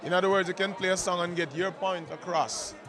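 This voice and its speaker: A man with a high voice speaks earnestly and emphatically, like a preacher making his point. Music plays clearly behind him and a crowd chatters. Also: steady tone, a little fast. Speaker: interviewee. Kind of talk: casual interview, promotional.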